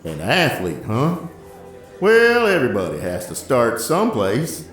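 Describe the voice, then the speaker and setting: A man playing a gruff, proud old diner owner in an audio drama, grumbling and wry. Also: medium pitch, wildly swooping pitch, measured pace, fairly bright tone, reverberant, faint music behind. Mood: wry persuasion. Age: older.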